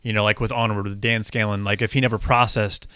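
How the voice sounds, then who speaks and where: A man co-hosting a podcast in casual chat, earnest and halting as he thinks aloud. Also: fairly low pitch, flat delivery, pushed and bright, clear, resonant tone, no room sound. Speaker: podcast co-host.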